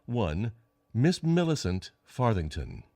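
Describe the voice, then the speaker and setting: An actor playing a tough, stern police lieutenant who talks bluntly and demandingly in a detective radio play. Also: male voice, lowish voice, very animated, slow pace, mellow, no echo, clean studio sound, full character voice. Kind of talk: 1940s radio detective drama acting.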